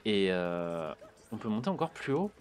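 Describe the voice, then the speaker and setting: A man's voice: on the low side, big pitch swings, moderate pace. Chatty man commenting on a game. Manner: chatty, muttering and swearing at the game. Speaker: streamer playing a video game. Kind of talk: casual let's-play commentary.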